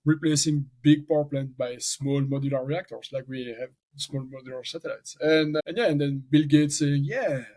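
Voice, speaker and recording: A man's voice: on the high side, moderate pace, few pauses, warm tone, poor audio. A man from French-speaking Belgium with a strong French accent, a talkative podcast guest who thinks aloud in restarts. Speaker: venture capital founder, guest. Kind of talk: casual podcast interview.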